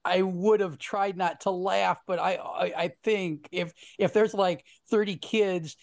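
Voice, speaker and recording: Male host, dry close mic, halting jokey delivery. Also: high-pitched, steady tone, vocal fry, no echo. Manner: joking, halting with restarts. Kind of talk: casual chat.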